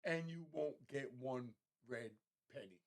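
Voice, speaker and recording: Gravelly male commentator, slangy and casual. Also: mid-range voice, takes it slowly. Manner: slangy, streetwise, explaining in plain terms. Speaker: reaction commentator.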